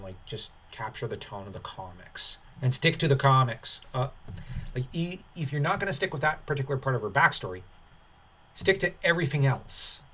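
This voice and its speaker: A man speaks at a middling pitch in the loose style of an online commentator. He rambles and halts as he works through his opinions. His manner is dismissive and dryly sarcastic. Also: fairly bright tone, a little hiss or hum. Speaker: commentary channel host.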